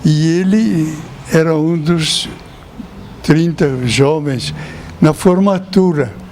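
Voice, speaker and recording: Homem idoso italiano, fala lenta. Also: male voice, fairly high pitch, a little mellow, a little hiss or hum. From Italy. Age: older. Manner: slow storytelling with chuckles. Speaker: priest. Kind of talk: interview, telling memories.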